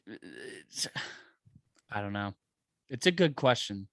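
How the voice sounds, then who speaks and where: A man co-hosting a podcast in casual chat, animated and saying "bro" and "dude" a lot. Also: fairly low pitch, slow pace, creaky, dry recording, clean studio sound. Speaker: podcast co-host.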